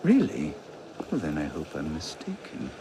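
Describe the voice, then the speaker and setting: A man's voice: rumbling low, huge pitch swings, moderate pace, dark tone, a little hiss or hum, exaggerated voice. An actor playing a witty, decadent middle-aged nobleman with an arch, mocking drawl. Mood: sly.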